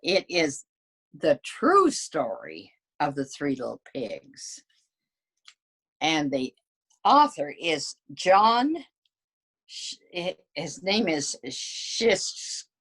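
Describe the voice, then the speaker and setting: Female storybook reader, informal and explanatory, slow pace, lively intonation, low register. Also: slightly rough. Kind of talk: informal read-aloud commentary for children.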